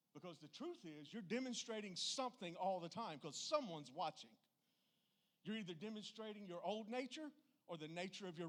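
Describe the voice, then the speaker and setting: A middle-aged man preaches in a somewhat high voice. His delivery is informal and emphatic, with folksy turns and questions thrown out to the congregation. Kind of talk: informal church sermon.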